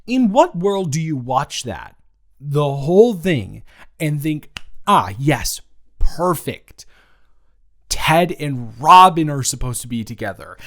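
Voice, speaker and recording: A man playing a smitten, playfully dramatic boyfriend in an improvised romantic audio roleplay, teasing and repeating his words haltingly. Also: a little high, pitched above the performer's own voice.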